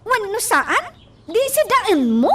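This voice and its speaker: A woman with a Filipino accent voicing a squeaky, chirpy, evasive young vendor in a radio drama, coaxing and pleading. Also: big pitch swings, slow pace, heavily acted. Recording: noisy, distant from microphone.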